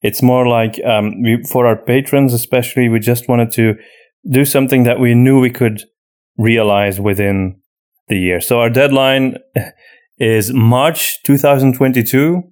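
A man's voice: medium pitch, steady tone, a little slow, a little mellow. A man co-hosting a podcast, chatting casually as he answers listener questions. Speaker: podcast host and audio drama writer.

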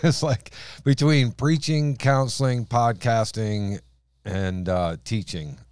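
A man with a deep voice and strained vocal cords, hosting a casual Bible podcast, laughing often and restarting his sentences. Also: fairly even intonation, takes it slowly, a little mellow, clean tone, dry recording. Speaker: podcast host.

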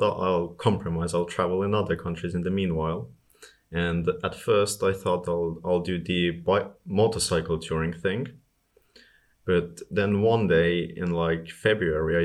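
A man from Estonia answering questions casually as an interview guest about his own travels. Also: low-pitched.

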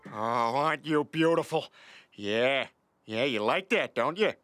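A woman's voice: very deep voice, expressive, a little slow, fairly bright tone, no room sound, faint music behind, heavily acted. A woman voicing a sleazy, lecherous cartoon man in an exaggerated, suggestive way. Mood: sleazy, seductive.

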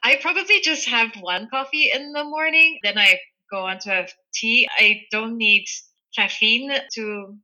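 A woman's voice: on the high side, measured pace, few pauses, sharp tone, dull audio. A middle-aged woman from Malaysia, a guest entrepreneur answering interview questions thoughtfully, with fillers and restarts.

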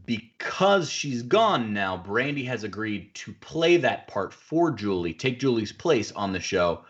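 A man's voice: lowish voice, sing-song, a little slow, lo-fi sound. A man hosting a podcast, recapping a film in casual, chatty, wordy banter. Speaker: podcast host.

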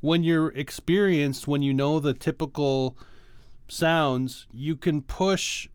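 A man's voice: a little high, slow, smooth, no room sound. A man co-hosting a podcast, talking casually and technically about music in an informal way peppered with "like" and "you know". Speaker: podcast co-host.

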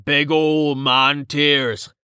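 A man's voice: fairly high pitch, level tone, very slow, sharp tone, clear, resonant tone, no echo, studio quality, pitched above the performer's own voice, exaggerated voice. A man voicing a jovial, burly male shield-bearer in a fantasy audiobook, with a blunt, teasing, colloquial manner.

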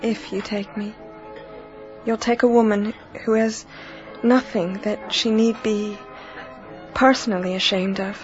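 An actress playing an earnest, gracious young bride in a radio drama, with a deliberate, heartfelt manner. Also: female voice, medium pitch, fairly even intonation, lightly acted. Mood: pained, earnest. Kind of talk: period radio drama acting. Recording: dull recording with music behind. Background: music.

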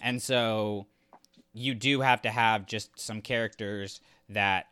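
A man's voice: fairly low pitch, fairly even intonation, takes it slowly, sharp tone, clear, resonant tone, dry recording. A man co-hosting a podcast, discussing stories in a casual, opinionated, conversational way. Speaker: podcast co-host.

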